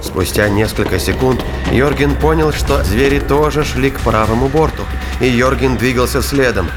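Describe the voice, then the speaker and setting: A man's voice: medium pitch, normal speed, over music. A man reading scripted dramatic narration for a science fiction thriller audio play.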